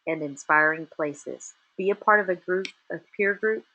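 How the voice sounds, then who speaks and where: A woman with a Texas drawl presents a webinar for business owners, her voice pitched on the lower side. She lands her points in short, punchy lines. Her delivery swings between brisk and drawn out. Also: phone-quality sound, slight background noise. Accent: Texan. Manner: emphatic, punchy short statements with lively rises. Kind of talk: motivational webinar talk.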